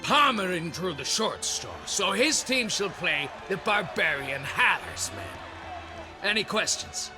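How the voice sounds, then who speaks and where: An actor playing a commanding, brisk knight captain in a video game, speaking formally and with authority. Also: male voice, high voice, very animated, music in the background, exaggerated voice.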